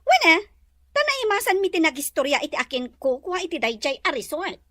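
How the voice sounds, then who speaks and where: A woman playing a haughty, bossy, sharp-tongued resort owner in an Ilocano Filipino audio drama. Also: very high-pitched, very animated, brisk, no room sound, studio quality, full character voice.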